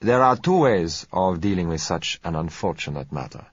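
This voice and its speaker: A man voicing a suave, polite foreign oil dealer, speaking gently and courteously in an audiobook. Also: low voice, animated, normal speed, slightly rough, dry recording. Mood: smooth, measured. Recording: dull lo-fi audio.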